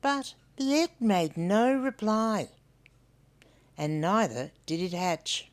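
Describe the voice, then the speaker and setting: A woman's voice: mid-range voice, expressive, slow. An older woman with an Australian accent reading a storybook aloud to children, expressively and with questions for young listeners.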